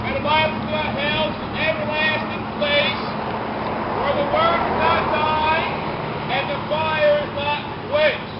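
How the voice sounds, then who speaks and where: A man preaching hellfire in the open air, declaiming in short phrases from some distance. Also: extremely high pitch. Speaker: street preacher. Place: outdoors at night on a roadside sidewalk. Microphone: distant handheld camera. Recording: distant muffled audio with heavy traffic noise.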